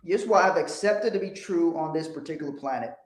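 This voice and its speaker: A man's voice: on the high side, a little fast, reverberant. A man from Liberia, hosting a motivational livestream in a blunt, profane, emphatic way. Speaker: host.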